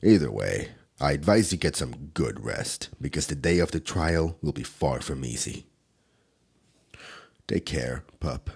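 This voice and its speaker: A stern demon enforcer, played by a man, with an extremely deep voice. It is gravelly and husky. He scolds in low, measured tones and stays protective underneath. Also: animated, darkish tone, full character voice. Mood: gentle. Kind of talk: ASMR audio roleplay.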